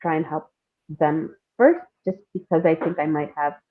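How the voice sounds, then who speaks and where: Woman guest w/ lowish voice, tinny phone-like sound, chatting informally, kinda thinking out loud. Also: normal speed. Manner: candid, informal, thinks aloud. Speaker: interview guest, playwright. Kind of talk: casual reflective interview talk. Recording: narrow, phone-like call audio.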